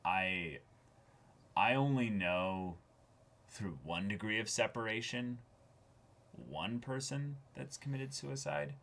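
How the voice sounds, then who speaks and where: A man's voice: lowish voice, vocal fry, a bit bright. A man co-hosting an anime discussion in a casual, thoughtful way, often feeling his way through a sentence. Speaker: co-host of an anime reaction show.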